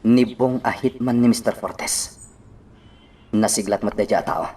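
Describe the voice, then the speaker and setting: A man's voice: lowish voice, measured pace, warm tone, slight background noise, lightly acted. A man acting a determined fighter facing enemies in an Ilocano radio drama.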